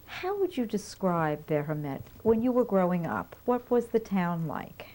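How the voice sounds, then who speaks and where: A woman interviewer asks questions in a calm, methodical way. Her voice sits in a middle pitch with a warm, mellow tone. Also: unhurried, rough recording. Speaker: oral history interviewer.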